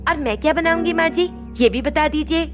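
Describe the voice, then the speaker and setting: A woman's voice: high-pitched, brisk, over music, voice pushed up, close to an everyday voice. A woman voicing a vain young daughter-in-law in a storybook tale, sweetly coaxing, deferential and evasive. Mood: sweet, eager. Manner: deferential, placating. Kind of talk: acted dialogue in a Hindi moral story.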